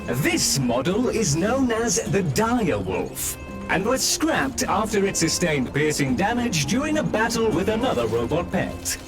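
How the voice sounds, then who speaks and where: A man's voice: sits high, big pitch swings, measured pace, full character voice. A man voicing an eager, officious robot tour guide in a video game, with formal, sing-song announcer phrasing. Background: game background music.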